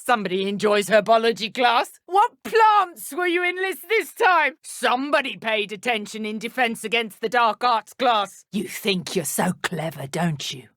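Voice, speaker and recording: Female dark wizard henchwoman, British accent, cruel, sneering, haughty, highly expressive pitch, video game villain, dry studio sound. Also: no room sound. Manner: taunting, contemptuous, haughty. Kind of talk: video game combat and idle voice lines.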